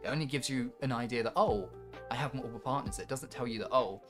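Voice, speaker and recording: A man's voice: mid-range voice, quick pace. A man talking casually but emphatically, swearing as he argues his opinions in a conversation. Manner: emphatic, sweary, animated when arguing. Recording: music playing behind the voice. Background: music.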